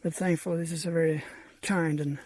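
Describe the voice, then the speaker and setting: A man from Norway speaking English, giving relaxed, chatty travel commentary to camera outdoors at a jungle camp. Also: on the high side, fairly quick. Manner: relaxed, chatty, thinking aloud. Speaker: travel vlogger.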